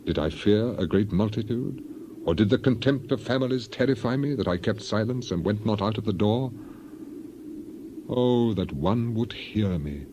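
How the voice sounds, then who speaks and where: A man's voice: fairly low pitch, expressive, normal speed, background noise, close to an everyday voice. A man playing a proud, suffering man defending his innocence in dramatized scripture, solemn and declamatory. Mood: solemn, defiant. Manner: declamatory, solemn, archaic diction.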